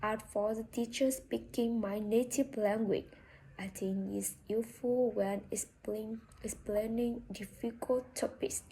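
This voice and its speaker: A woman's voice: on the high side, fairly even intonation, unhurried, warm tone, a little hiss or hum, rough recording. A woman with a Vietnamese accent, a native Vietnamese speaker, giving a prepared monologue for a speaking exam, careful and recited, with a few restarts. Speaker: student taking a speaking exam.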